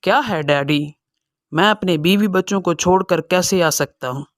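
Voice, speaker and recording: A man's voice: high-pitched, moderate pace, fairly bright tone, no room sound, clean studio sound. A man playing a selfish, impatient young son who is dismissive, in a dramatized cartoon moral story. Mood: irritated, dismissive.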